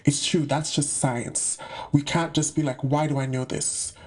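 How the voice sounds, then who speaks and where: A man's voice: on the high side, little pitch movement, unhurried, darkish tone. A young man from the UK giving a casual, slangy monologue to camera about spiritual topics, with playful asides. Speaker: spiritual content creator.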